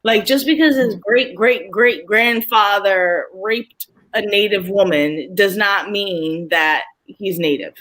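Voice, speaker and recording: A woman in a casual group chat, quick and opinionated, correcting the record. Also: mid-range voice, fairly bright tone. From Dominican.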